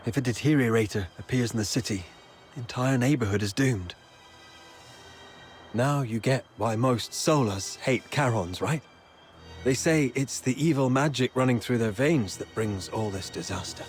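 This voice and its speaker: An actor playing a young town escort guard in a video game, anxious, chatty and world-weary but soft-hearted, explaining things conversationally. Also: male voice, mid-range voice, normal speed, soft background music, natural voice. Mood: earnest, uneasy.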